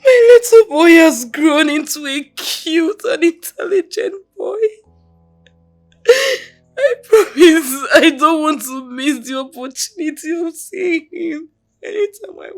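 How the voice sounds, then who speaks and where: A woman acting as a broken, remorseful mother begging forgiveness. She speaks high and slow, often tearful, with soft music playing underneath. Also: lively intonation, heavily acted. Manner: tearful, pleading, repeating apologies. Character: remorseful young mother, drug-troubled, pleading. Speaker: actor.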